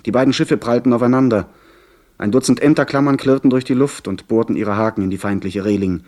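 A man plays a young gentleman telling a seafaring adventure, his voice on the low side. The delivery is earnest, literary and dramatic, in the scripted style of a radio play. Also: natural voice. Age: young adult. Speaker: narrator and character.

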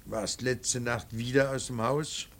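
An actor playing a stern, anguished middle-aged father in a radio drama, speaking in a deliberate, halting and emphatic way. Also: mid-range voice, steady tone. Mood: strained reproach. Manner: deliberate, halting, emphatic, swinging from muttered to pleading. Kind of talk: German radio drama acting.

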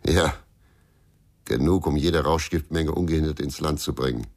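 A very deep male voice with a creaky, gravelly edge as a brooding former investigator. He is terse and bitter in the manner of a radio crime drama, with faint noise underneath. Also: normal speed. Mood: wary, brooding.

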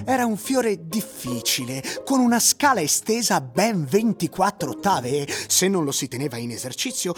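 A male actor playing a languid, ironic plant-shop owner who narrates his memories theatrically in a radio drama. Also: on the high side. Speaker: narrator and lead character.

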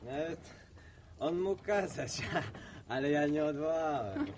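A man's voice: on the high side, lively intonation, slow pace, a little mellow, a little hiss or hum. An actor playing a naive city man in the countryside, hesitant yet pedantic, in a radio drama. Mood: stubborn. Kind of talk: Polish radio drama acting.

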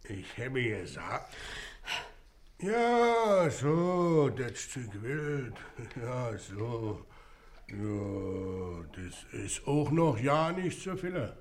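Very slow, halting man. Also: medium pitch, big pitch swings. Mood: hesitant. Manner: halting, mumbling. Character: slow, muddled, evasive working-class husband. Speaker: actor. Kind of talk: German radio play acting.

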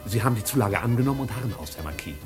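Man acting a lowish-voiced, pedantic servant. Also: racing, natural voice. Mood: deferential, formal. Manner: stiff, deferential, long-winded, deadpan. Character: formal, pedantic male servant reporting to his master. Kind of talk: 1950s German radio play acting. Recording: 1950s radio recording, faint music behind.

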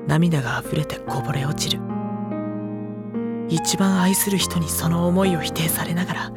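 A man's voice: a little high, moderate pace, lightly acted. Casting: man voicing sensitive teen boy narrator, sorrowful and tender, literary drama delivery, prominent cello backing. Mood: tender, mournful. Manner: solemn, emotional, earnest. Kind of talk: literary first-person audio drama narration. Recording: loud string music behind narration. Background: cello and bowed string music.

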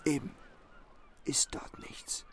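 A man's voice: takes it slowly, a bit bright. A man in a German radio play acting a gossipy, superstitious villager who tells ghost tales in clipped colloquial phrases. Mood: eerie, excited. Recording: outdoor-sounding scene, honking geese, faint noise.

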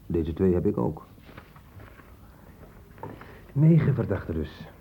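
An actor playing a dry, worldly police inspector in a Dutch radio play, measured and wry. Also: male voice, sits low, sing-song, a little hiss or hum, exaggerated voice. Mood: wry, assured. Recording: muffled, dull old radio recording.

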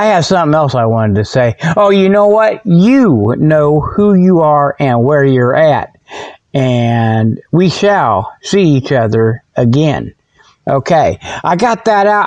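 A man's voice: a little high, darkish tone, no room sound. A man hosting a comedic show, rambling casually in a folksy, jokey, self-amused way. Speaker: host of a comedic paranormal report show. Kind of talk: rambling casual humorous monologue. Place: outdoors behind his garage.

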